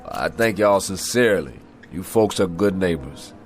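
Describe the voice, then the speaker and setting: An actor playing a weary, washed-up American boxer, gentle but proud and disillusioned, in a radio drama. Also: male voice, low voice, expressive, normal speed, soft background music. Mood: humble, sincere.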